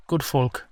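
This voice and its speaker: A man's voice: darkish tone, dry recording, clean studio sound. A man playing a whimsical, boastful ancient salmon in human form, chattily telling old myths in an audio roleplay. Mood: wistful. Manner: chatty, colloquial, playfully indignant. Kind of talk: audio roleplay storytelling addressed to the listener.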